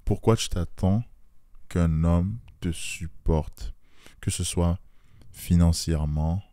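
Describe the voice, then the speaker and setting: A man's voice: low-pitched, fairly even intonation, slow, warm tone, no room sound, clean studio sound. A man hosting a podcast, asking earnest probing questions and adding disclaimers. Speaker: podcast host. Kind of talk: podcast interview.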